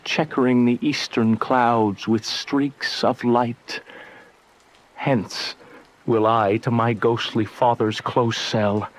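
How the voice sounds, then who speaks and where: A man's voice: mid-range voice, close to an everyday voice, poor audio. An actor playing an ardent, lovestruck young nobleman in a Shakespearean radio drama, speaking verse in a romantic, poetic way.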